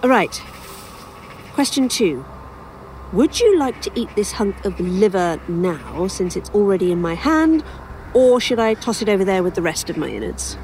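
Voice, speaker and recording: A woman's voice: medium pitch, sing-song, moderate pace, darkish tone, slight background noise, natural voice, rough recording. A woman playing an inquisitive, wry author in an audio drama, rambling and musing as she talks. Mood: wry, hesitant.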